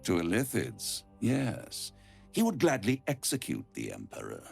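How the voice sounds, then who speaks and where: A man voicing a suave, ancient, scheming devil in a video game, silky and grandiose, savouring words with dramatic pauses. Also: lowish voice, huge pitch swings, vocal fry, slightly rough, faint music behind, heavily acted. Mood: persuasive, scornful. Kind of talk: theatrical video game villain monologue.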